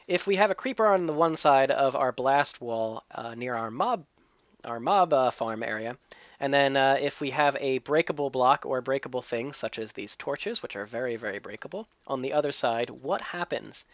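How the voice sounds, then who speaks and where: Game host, male, slightly stuffy from a cold, explanatory thinking-aloud delivery, mid pitch, dry close sound. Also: lively intonation, moderate pace, no room sound. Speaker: let's play host. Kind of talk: gameplay commentary and tutorial.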